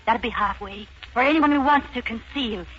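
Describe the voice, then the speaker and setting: An actress playing an earnest young Irish maid, urgent and entreating, in 1940s radio drama style. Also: female voice, fairly high pitch, normal speed, natural voice. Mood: earnest, pressing. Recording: muffled, noisy 1940s radio recording.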